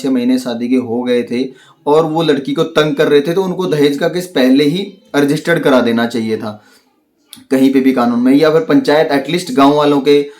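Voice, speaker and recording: Man giving halting, opinionated commentary. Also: on the high side, darkish tone. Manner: explanatory and opinionated, with halting, searching phrasing. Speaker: online commentator analysing a crime case. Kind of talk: unscripted spoken commentary on a crime case.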